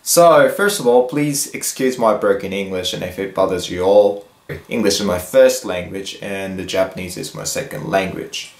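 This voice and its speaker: A young man in his twenties talking casually to the camera in a vlog, slow and hesitant, with many fillers and restarts. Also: sits low, gravelly, reverberant. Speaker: fitness vlogger. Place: plain indoor room, then a gym hallway.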